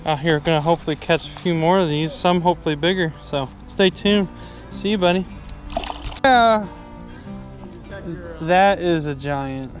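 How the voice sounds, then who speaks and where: Young man, fairly high voice, unhurried, jokey fishing vlogger, outdoor camera audio, music behind. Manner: laid-back, jokey exaggeration. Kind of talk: casual chat while fishing. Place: outdoors on a wooded river. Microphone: action camera worn or held by him.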